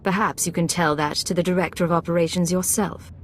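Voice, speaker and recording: Low-voiced, cool female intelligence agent played by an actress. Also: steady tone, fast talker, a little hiss or hum, natural voice. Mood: firm, authoritative. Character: adult female Imperial intelligence agent, cool, assertive, probing. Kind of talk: video game voice acting.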